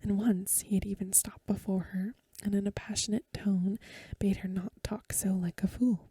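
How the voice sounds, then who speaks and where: A woman reading a story aloud for ASMR in a hushed, gentle, unhurried whisper, close to the microphone. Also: medium pitch, fairly even intonation, no room sound. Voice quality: whispery, breathy. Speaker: ASMR reader and host. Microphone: close mic, dry.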